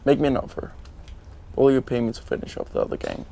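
A man's voice: mid-range voice, sing-song, quick pace, creaky, mellow. An actor playing a curt, hard-bargaining gunman in dialogue from a Western film soundtrack. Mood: curt, haggling. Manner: curt, haggling. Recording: noisy film soundtrack with ambience.

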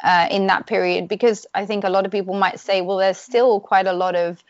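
A woman interviewer asks probing questions, sometimes halting and rephrasing as she goes. Her voice is of middling pitch. The sound is dry and slightly dull. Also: fairly even intonation, no room sound. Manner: probing, hesitant phrasing.

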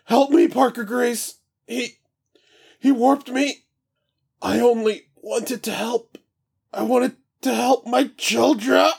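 A man's voice: extremely high pitch, steady tone, slow pace, vocal fry, pauses often, husky, voice pushed up, full character voice. A man voicing a tormented female demon god who screams in agony and sobs out pleas for help. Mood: pleading, anguished. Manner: shrieking, sobbing pleas.

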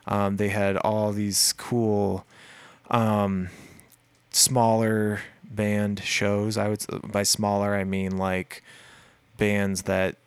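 A man with a deep voice, a musician guest in a casual interview, telling a rambling story full of fillers and restarts. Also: little pitch movement, drawn-out words, smooth, no room sound.

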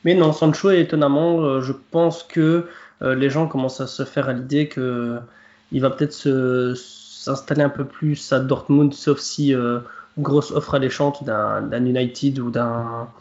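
A man's voice: medium pitch, steady tone, measured pace, a little mellow. A man on a football podcast panel, talking with many hesitations. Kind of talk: podcast discussion. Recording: echoey room, dull audio.

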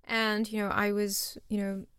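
A woman's voice: medium pitch, monotone, a little slow, fairly bright tone, clear, resonant tone, dry recording, studio quality. A woman in her thirties, raised in Singapore, chatting casually as a guest in an interview and often laughing at herself. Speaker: startup founder, guest.

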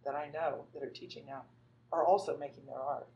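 A woman's voice: a bass voice, steady tone, a little fast, warm tone, lo-fi sound. A woman artist from Northern California talking about her work in a loose, rambling way, full of fillers and restarts. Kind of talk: conversational artist talk.

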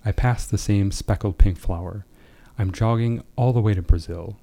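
A man's voice: fairly low pitch, normal speed, creaky, dark tone. A man speaking as a writer, in an understated way, reading or discussing his own short fiction. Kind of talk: literary reading, then casual discussion.

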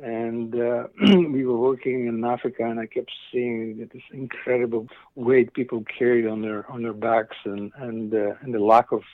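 A man's voice: medium pitch, flat delivery, normal speed, few pauses, no echo, phone-quality sound. A middle-aged man with a Slovak accent giving casual, reflective interview answers in an enthusiastic, rambling way, often interrupting himself. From eastern Slovakia. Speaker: interview guest, cycling company founder.

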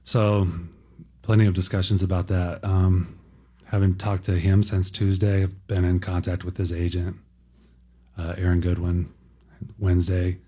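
A man speaking as a team executive at a press conference, answering in careful, halting, measured phrases. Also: sits low, flat delivery, dark tone.

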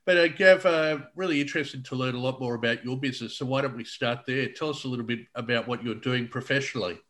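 A man hosting a podcast interview, asking questions in a relaxed way with plenty of fillers. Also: mid-range voice, fast talker. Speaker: podcast host. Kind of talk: conversational interview.

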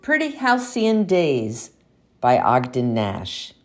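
A woman reciting a poem aloud as an amateur reader, with measured, expressive phrasing. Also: rumbling low, faint music behind. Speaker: community poetry reader. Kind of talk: poetry recitation.

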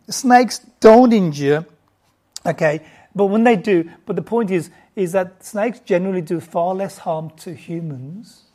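A woman's voice: mid-range voice, dark tone. Woman teaching Bible, chatty. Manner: informal, stumbling restarts, asks the audience questions. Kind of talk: conversational Bible teaching to a group.

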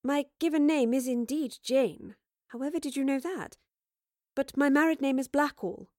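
A woman voicing a kindly, genteel married lady in an audio drama, gently polite and fussing. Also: sits high, moderate pace, dry recording, clean studio sound, pitched above the performer's own voice, close to an everyday voice. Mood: gentle, reassuring. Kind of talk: audio drama character acting.

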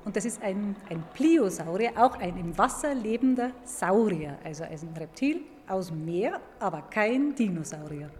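A woman explaining science to a young listener in a clear, didactic way, stressing key words by repeating them. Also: mid-range voice, lively intonation, moderate pace, few pauses, darkish tone. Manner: clear, didactic, emphatic repetition. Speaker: museum paleontologist. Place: large echoing indoor museum hall. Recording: reverberant hall with background noise.

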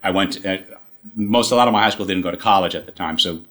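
A man, a company chief telling his story in a casual interview, halting and restarting often, with dry humor. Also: low-pitched, fairly bright tone. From New York, USA. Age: 60s. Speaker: guest, company CEO.

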